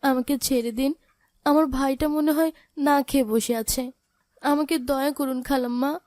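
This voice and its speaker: A woman voicing a poor orphaned big sister in a cartoon drama, gentle, sorrowful and pleading. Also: high voice, steady tone, moderate pace, dry recording, clean studio sound, natural voice. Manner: plaintive, pleading.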